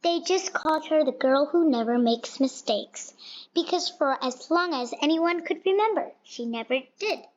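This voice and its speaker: A woman with a high-pitched voice reads a children's storybook aloud. Her delivery is expressive, with small stumbles and repeated words here and there. The recording is dull and lo-fi. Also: moderate pace. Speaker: storybook reader. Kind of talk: children's picture book read-aloud. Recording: dull, lo-fi sound.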